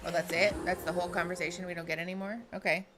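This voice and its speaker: A woman's voice: on the low side, flat delivery, racing, loud music behind the voice. A woman therapist giving live reaction commentary, with candid, wry asides and plenty of ums. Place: at home with a pet. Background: show audio playing.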